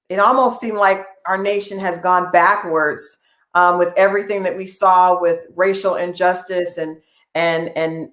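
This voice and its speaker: A woman's voice: lowish voice, a bit bright. A woman hosting an interview, who asks long, rambling questions and murmurs frequent agreement. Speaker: interview host. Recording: echoey room.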